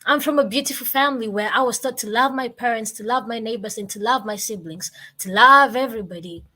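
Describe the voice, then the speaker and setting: A woman in her twenties with a Kenyan accent, telling her story like an inspirational speaker, with emphatic repetition and deliberate pauses. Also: fairly high pitch, pushed and bright. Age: 20s. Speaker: guest, motivational speaker.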